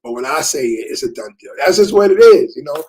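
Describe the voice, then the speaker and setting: A man's voice: fairly high pitch, lively intonation, fairly quick, crowd noise. A man in his thirties in casual chat about parenting, laid-back and repetitive, with many fillers.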